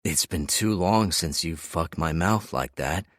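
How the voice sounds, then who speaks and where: A man's voice: low voice, a little slow, dry recording, studio quality. A man voicing a playful young adult lover.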